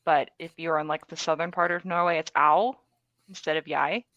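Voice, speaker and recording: A woman hosting a casual podcast chat, talking in a bubbly, enthusiastic way and quick to praise her guest. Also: deep voice, steady tone, fairly bright tone, no echo. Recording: dull dry recording.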